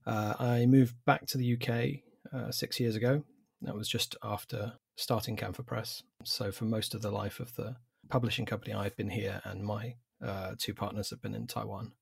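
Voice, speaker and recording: A man in his forties with an English accent, a publisher answering interview questions in a measured, thoughtful way with some fillers. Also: fairly low pitch, flat delivery, vocal fry, breathy edge, dry recording, clean studio sound. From England. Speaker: publisher, guest.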